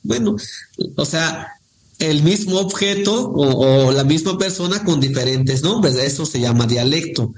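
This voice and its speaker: A man, a Nahuatl speaker from Guerrero, reading poems and explaining language in an unhurried way, with many pauses and fillers. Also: a little high, lively intonation, slight background noise. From Guerrero, Mexico. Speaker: guest poet. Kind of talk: poetry reading and casual conversation.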